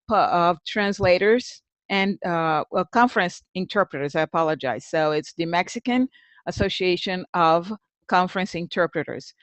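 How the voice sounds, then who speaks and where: A woman's voice: on the low side, no room sound. Woman hosting a webinar, close dry sound. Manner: conversational, thinking aloud, addressing attendees by name. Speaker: webinar host and presenter. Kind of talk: informal webinar presentation.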